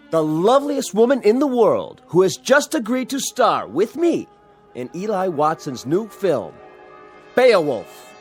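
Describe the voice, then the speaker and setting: A smug movie star, suave, lively voice, music under. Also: male voice, high voice. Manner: suave, condescending. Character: smug charming male leading-man actor. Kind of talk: radio drama acting.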